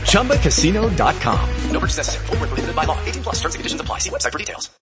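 Male ad announcer as quizmaster, high animated voice over loud music. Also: racing. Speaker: commercial announcer as game show host. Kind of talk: game show host style advertising.

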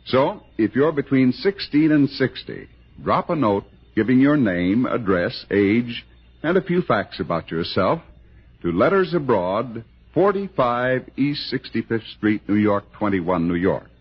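A man's voice: medium pitch, very animated, unhurried, husky. An American man reading a script as an old-time radio announcer. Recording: muffled vintage radio broadcast.

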